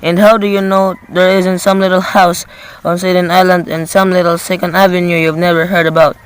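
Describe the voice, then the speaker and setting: A dismissive police desk officer played by a man with a fairly high voice. He sounds matter-of-fact and patronizing, placating the caller without hurry, in amateur radio play style. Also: little pitch movement, a little fast, clear, resonant tone, lightly acted, poor audio.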